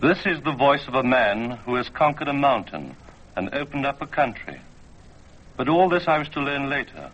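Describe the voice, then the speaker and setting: Measured vintage radio storyteller, dull-sounding man. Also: mid-range voice, big pitch swings, a bit bright. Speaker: storyteller and producer.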